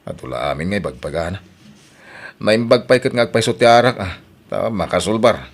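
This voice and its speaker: A man acting a troubled, animated Ilocano husband. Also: fairly low pitch, fairly quick. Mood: defiant, complaining. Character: troubled hard-drinking husband and jeepney driver, self-pitying, stubborn. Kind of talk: Ilocano radio drama acting.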